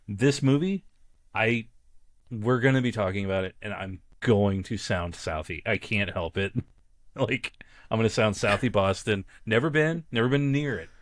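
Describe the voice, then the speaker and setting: A man's voice: fairly low pitch, moderate pace. A man with a mild Texan accent co-hosting a podcast, chatty and laughing often. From Texas. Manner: laughs a lot, eager reactions. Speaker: podcast co-host. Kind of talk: casual chat.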